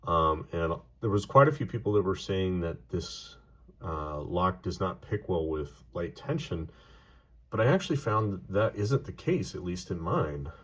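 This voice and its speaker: Man, middle-aged, very low voice, slightly hoarse, casual rambling tutorial host, lots of fillers. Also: expressive, unhurried, a bit bright. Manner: rambling, many fillers and self-corrections. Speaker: lock picking video host. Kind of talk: casual tutorial talk to camera.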